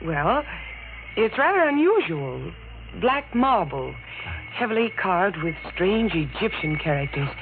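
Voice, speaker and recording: An actress playing a polite, genteel young wife in a 1940s radio drama, polished and expressive. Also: female voice, medium pitch, a little slow, over music. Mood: fascinated, appalled. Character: genteel newly married woman customer, polite and chatty. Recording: muffled, noisy old radio recording.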